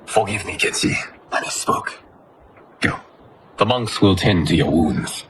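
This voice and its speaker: A man playing a solemn godly guardian in a video game, speaking formally and with measured authority. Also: low-pitched, animated, a little hiss or hum. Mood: calm authority. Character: solemn godly male protector of the realm. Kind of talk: cinematic video game acting. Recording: loud music behind the voice.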